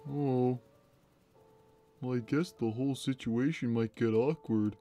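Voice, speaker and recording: A man voicing a big, gentle, timid cartoon cat who speaks simply and hesitantly while playing a game on a livestream. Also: mid-range voice, steady tone, unhurried, natural voice. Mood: timid, unsure. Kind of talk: in-character gaming livestream chat. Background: game music.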